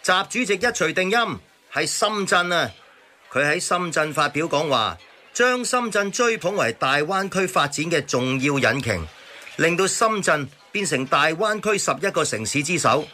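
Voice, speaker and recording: Animated, cynical Hong Kong neighbour. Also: male voice, on the high side, moderate pace, slight background noise. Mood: cynical, explaining. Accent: Hong Kong Cantonese. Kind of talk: satirical radio drama dialogue.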